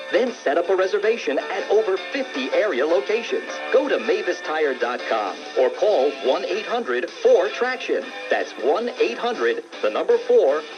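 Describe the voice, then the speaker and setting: A male announcer reads a retail radio ad in a fairly high, animated voice. The recording is dull and lo-fi, with clear music behind. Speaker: commercial announcer.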